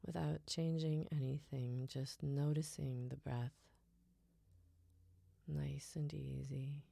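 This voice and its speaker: A woman leads a guided relaxation in a low, mellow voice with very heavy creak. She speaks softly and soothingly, letting phrase endings fade into breathy near-whispers. Her delivery is unhurried and gentle. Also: steady tone, no room sound. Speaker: yoga nidra guide.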